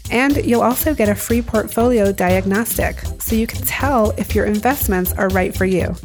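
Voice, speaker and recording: A woman's voice: mid-range voice, a little mellow. A woman hosting a podcast, asking interview questions and reading announcements in an upbeat way. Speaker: podcast host. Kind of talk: interview and sponsor reads.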